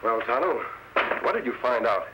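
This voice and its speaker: An actor playing a masked frontier hero, commanding and decisive, giving crisp orders in a vintage radio western. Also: male voice, mid-range voice, expressive, moderate pace, lightly acted. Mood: planning. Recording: hissy old radio recording.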